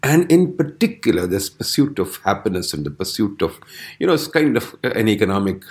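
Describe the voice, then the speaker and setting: A man hosting a conversation who thinks aloud and keeps up soft listening murmurs. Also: fairly low pitch, sing-song, a little fast. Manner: reflective, lots of listening murmurs. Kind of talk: conversational discussion.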